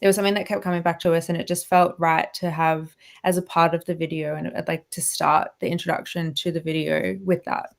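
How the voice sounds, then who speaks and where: A woman in her thirties chats as a radio guest, her voice sitting a little low. She talks loosely, circling back on her words and laughing often. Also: flat delivery, a little fast, vocal fry, few pauses. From Australia. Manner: chatty, laughs often, many restarts and fillers. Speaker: guest violinist. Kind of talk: casual radio interview.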